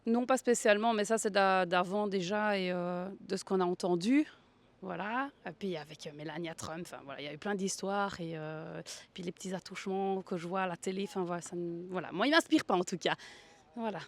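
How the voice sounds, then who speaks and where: A woman's voice: fairly low pitch, brisk. A woman from Belgium chatting casually as an interviewee, candid and a little dismissive. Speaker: passer-by being interviewed. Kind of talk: casual chat.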